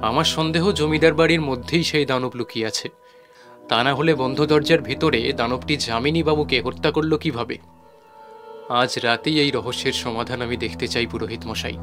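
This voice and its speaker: A man plays a determined young hunter of a village demon in a horror audio drama. The voice is mid-pitched, earnest and questioning, with clearly audible music behind it. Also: normal speed, natural voice. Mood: resolute, urgent.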